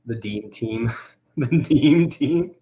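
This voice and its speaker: A man's voice: mid-range voice, expressive, takes it slowly, mellow. A young man chatting casually on a livestream while he animates. He rambles, with lots of fillers and restarts.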